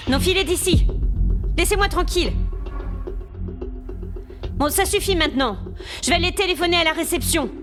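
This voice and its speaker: Over prominent music comes a frightened female tourist played by an actress in a horror audio drama. She talks to herself in an agitated, breathless way, moving between hushed muttering and exasperated calls, with a very lively melody. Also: very high-pitched. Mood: angry, alarmed. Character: frightened young woman tourist trapped in a haunted hotel. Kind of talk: French-language horror audio drama monologue.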